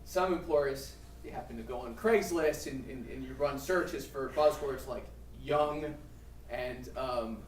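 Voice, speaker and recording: A man's voice: mid-range voice, unhurried. A man presenting at a seminar, talking conversationally with many restarts and fillers. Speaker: employment lawyer presenting a seminar. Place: seminar room. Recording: roomy, distant mic with faint room noise.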